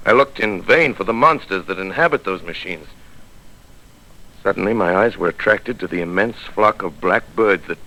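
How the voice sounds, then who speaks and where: An actor playing a solemn, weary scholar who survived an invasion, narrating in a grave, theatrical 1930s radio drama style. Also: male voice, sits low, sharp tone, rough recording. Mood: tense awe. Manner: grave, measured, theatrical, reflective.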